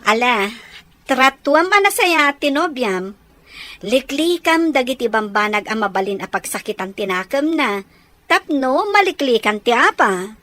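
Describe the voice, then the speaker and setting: A woman's voice: high voice, big pitch swings, a little slow, sharp tone, no room sound, exaggerated voice. A woman acting an affectionate, teasing older sister who gives advice in an Ilocano audio drama. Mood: gently advising.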